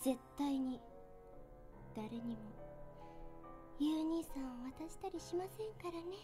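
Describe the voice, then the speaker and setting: Woman as possessive teenage girl, high-pitched, polite, menacing, anime audio drama. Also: lively intonation, measured pace, dark tone, faint music behind. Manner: polite, intense declarations.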